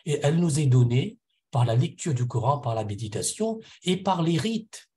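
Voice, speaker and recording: A man's voice: fairly high pitch, expressive, moderate pace, warm tone. A middle-aged man from Réunion island giving a personal spiritual testimony at a conference, earnest and reflective, often searching for words and repeating himself as he goes. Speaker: conference panel speaker.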